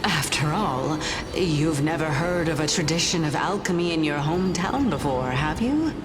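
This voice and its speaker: An actress playing a wise woman scholar in a game, speaking in a measured, thoughtful and wry way. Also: sits low, expressive. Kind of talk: game voice acting. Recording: game dialogue over music.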